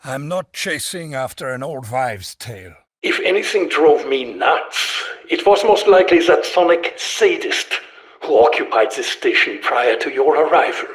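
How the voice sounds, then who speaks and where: An actor playing a resentful old scientist in a video game, speaking in a formal, wordy, dryly sardonic manner. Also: male voice, mid-range voice, lively intonation, unhurried, a bit bright, slightly rough, dry recording. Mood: dry, bitter. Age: older. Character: resentful old German scientist, erudite, fussy, sardonic, excitable. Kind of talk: video game character voice lines.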